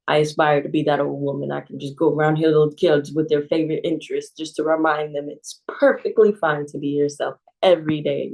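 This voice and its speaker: A woman librarian reading a children's picture book aloud in a calm, expressive way. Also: sits low, poor audio.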